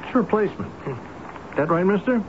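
A man playing a soft-hearted, flustered building worker in a radio drama, hesitant and stammering in colloquial speech. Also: high voice, a little fast, lightly acted. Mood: flustered, placating. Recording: noisy, muffled old radio broadcast recording.

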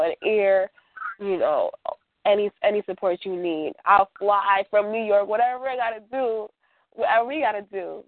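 A woman's voice: mid-range voice, no echo. A woman giving heartfelt, supportive talk on a radio show, repeating phrases for emphasis. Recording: narrow phone-like call-in line.

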